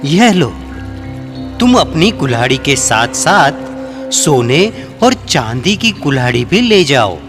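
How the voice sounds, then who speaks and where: A solemn, kindly god of the river, acted by a man, speaks in a folktale. His delivery is grand and deliberate, with big swoops of pitch. Music plays clearly behind his commanding words. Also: very animated, exaggerated voice. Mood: pleased, generous. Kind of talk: dramatized folktale acting.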